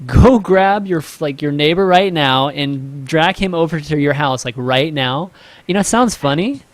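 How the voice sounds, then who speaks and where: A man hosting a casual podcast, relaxed and affirming. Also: fairly high pitch, moderate pace, no room sound. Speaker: podcast host.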